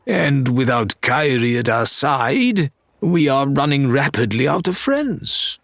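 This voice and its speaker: A man's voice: mid-range voice, sing-song, slow pace, a bit bright, no room sound, pitched above the performer's own voice, heavily acted. A man voicing an easygoing, wry pirate crewman in an audiobook, with formal, wordy phrasing. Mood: protesting.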